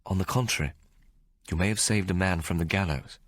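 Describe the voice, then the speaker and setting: A man voicing a calm, kindly Victorian gentleman reporter with a gentle manner. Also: deep voice, fairly quick, dry recording.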